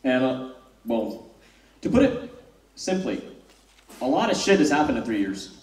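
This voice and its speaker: A man's voice: medium pitch, sing-song, measured pace, a little mellow. A young man, giving a wry, self-deprecating spoken-word talk on stage to a live audience. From born in South Korea, raised in the US. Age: young adult. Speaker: student speaker. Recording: roomy hall, mic from far off.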